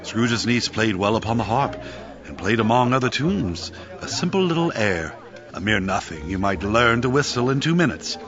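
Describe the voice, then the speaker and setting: A man reading scripted narration for a dramatised classic story. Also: medium pitch. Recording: dull audio with music behind.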